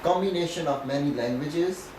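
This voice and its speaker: A man's voice: on the high side, normal speed. Pakistani-accented man, native Punjabi speaker, echoey distant recording, didactic interview answers. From Pakistan, Punjab region. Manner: didactic, often checking with "okay". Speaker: professor being interviewed. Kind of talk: explanatory interview talk. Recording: echoey, distant mic, faint noise.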